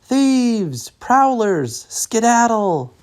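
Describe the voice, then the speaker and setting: Man putting on high, indignant storybook townsfolk. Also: very animated, drawn-out words, heavily acted. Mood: outraged. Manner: exclaiming, indignant. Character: outraged townsfolk chasing off thieves.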